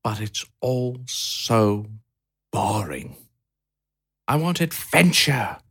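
A man voicing a restless male pet hamster who longs for adventure, in a hushed, wistful, drawn-out voice. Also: on the low side, sing-song, slightly rough, pitched above the performer's own voice, exaggerated voice. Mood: scheming.

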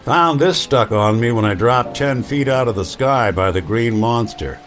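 An actor playing a weary, wry, hard-boiled synthetic detective with a noir delivery in an old-time radio drama. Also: male voice, medium pitch, animated, normal speed, fairly bright tone, soft background music, lightly acted. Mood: brooding, wry.

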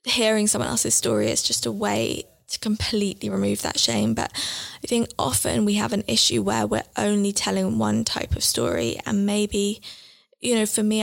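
A woman's voice: medium pitch, gravelly. A young adult woman speaking earnestly and reflectively as an interview guest, with frequent "like" fillers. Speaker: book editor and guest. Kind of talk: interview conversation.

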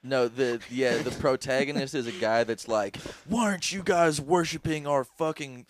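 A man's voice: medium pitch, normal speed, slight background noise. A young man co-hosting a comedy podcast, laughing often and doing game-show voice bits. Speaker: podcast co-host. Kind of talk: crude comedic podcast banter. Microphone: studio podcast microphone.

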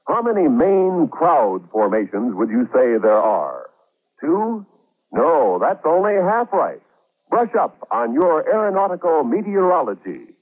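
A man's voice: on the high side, breathy edge. A man reading scripted public service announcements in a 1940s radio style. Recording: muffled old radio recording.

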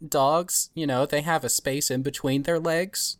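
A woman's voice: deep voice, fairly even intonation, a little slow, dry recording. Rambling, playful woman, dry close sound. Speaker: podcast cast member. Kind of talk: casual chat.

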